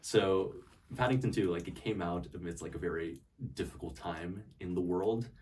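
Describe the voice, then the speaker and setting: A young man answering interview questions in a thoughtful way, often pausing to search for words. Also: low-pitched, a little mellow, a little hiss or hum. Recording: voice picked up from a distance.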